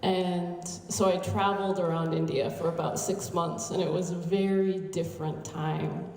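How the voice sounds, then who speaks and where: A woman with an American accent, in her late thirties, tells a story unhurriedly, with soft restarts. Her voice sits low and mellow. It carries from a distance through a reverberant hall, with faint background noise. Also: little pitch movement, normal speed. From United States. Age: late 30s. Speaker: invited conference speaker. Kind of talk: formal speech at a conference. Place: large conference hall.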